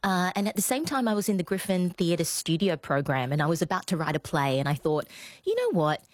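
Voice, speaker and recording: A woman with an Australian accent answering radio interview questions in a reflective, measured way with thoughtful pauses. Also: on the low side, big pitch swings, no echo. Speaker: interview guest, playwright and actor. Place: radio studio.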